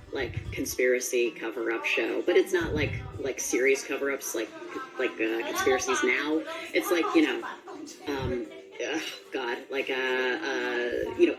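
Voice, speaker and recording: A woman gives a casual interview in a strikingly low voice. She rambles informally and with enthusiasm, and faint music plays behind her. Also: low-quality recording. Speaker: voice actor being interviewed.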